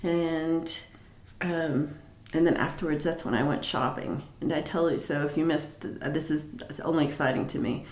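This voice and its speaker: A woman with a notably low, deep voice chats loosely on her livestream, wandering through her week with lots of fillers and restarts. She sounds like she is in an echoey room at home. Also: moderate pace, a little mellow. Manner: chatty, rambling, many fillers and restarts. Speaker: livestream host. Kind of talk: casual live chat reading comments.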